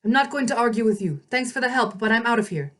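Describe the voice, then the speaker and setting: Woman playing teenage boy fighter, storybook character, bitter, dismissive, raised pitch. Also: lively intonation, fast, a bit bright, distant mic. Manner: sneering, dismissive.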